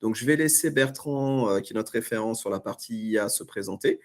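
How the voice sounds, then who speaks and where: A man's voice: fairly low pitch, fairly quick. A man hosting a training webinar over a video call, introducing the topics and handing over to the speaker, with frequent fillers and restarts. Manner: hesitant, many fillers and restarts. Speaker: webinar host from the training company.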